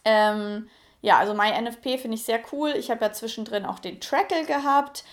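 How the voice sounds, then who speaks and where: A woman's voice: a little high, moderate pace. A woman in her late twenties talking casually and frankly to her channel viewers, with frequent fillers and restarts. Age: 20s. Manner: chatty, frank, many fillers. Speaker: YouTuber. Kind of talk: casual personal vlog.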